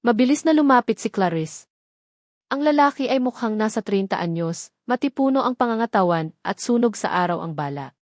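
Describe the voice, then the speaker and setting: A woman's voice: on the high side, animated, a little fast, a little mellow, no echo, clean studio sound. A woman narrator reading a scripted romance story in a dramatic storytelling style.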